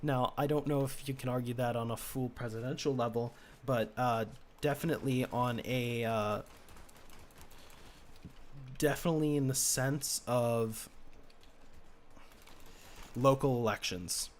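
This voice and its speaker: A young man from the United States streaming a game, musing in a low-key, rambling way and keeping his voice lower than usual. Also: fairly even intonation, a little slow, pauses often, slight background noise. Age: teens. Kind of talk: casual chat while gaming.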